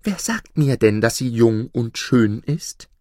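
A man's voice: mid-range voice, animated, slow pace, darkish tone, dry recording, studio quality. A man doing a rueful stork caliph. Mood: musing. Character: caliph turned stork, young, sighing ruler.

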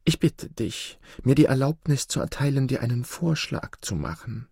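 A man voicing a sly, servile male fox who hides his scheming behind humble, soft-spoken flattery. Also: normal speed, vocal fry, slightly rough, no echo, clean studio sound. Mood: pleading. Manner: obsequious, soft and high, sometimes whispering.

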